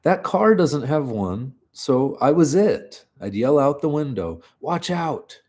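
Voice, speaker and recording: A man voicing an excitable, chirpy adult woman in a live story reading, lifting his voice well above his own. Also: on the high side, slow, voice pushed up.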